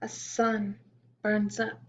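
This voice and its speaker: A woman reading a children's picture-book poem aloud in a slow, gentle storytime manner with soft hushed asides. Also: medium pitch, completely flat delivery, highs cut off. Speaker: storytime reader.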